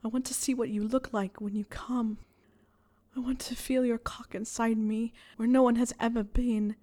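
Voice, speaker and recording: Woman acting young male wizard, shy, yearning, breathy, hesitant, pleading, audiobook character. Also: on the high side, flat delivery, normal speed, mellow, close to an everyday voice. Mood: aroused, pleading.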